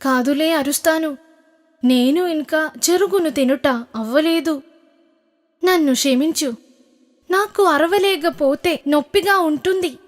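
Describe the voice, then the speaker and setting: A woman's voice: sits high, measured pace, pauses often. A woman voicing a sly, lazy fox with a whiny, weary manner for a children's cartoon story. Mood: stubborn. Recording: music behind the voice.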